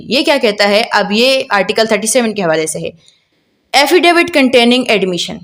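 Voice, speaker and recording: Higher-voiced Pakistani woman teaching. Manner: didactic, asks rhetorical questions and answers them. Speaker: law lecturer. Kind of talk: explanatory law lecture mixing Urdu and English.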